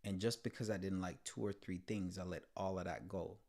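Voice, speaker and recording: Laid-back man with a low voice. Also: steady tone, a little mellow. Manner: laid-back, halting, repeats words. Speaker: co-host. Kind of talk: casual podcast talk with his wife.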